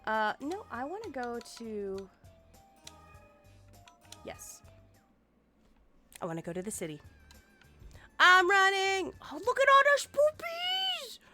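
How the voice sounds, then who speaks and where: A woman's voice: very high voice, big pitch swings, takes it slowly, long pauses, bright, forceful tone, faint music behind. A woman streaming a game and chatting casually with her viewers, sounding nasal and sniffly from congestion. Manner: bubbly, playful, chatty with viewers.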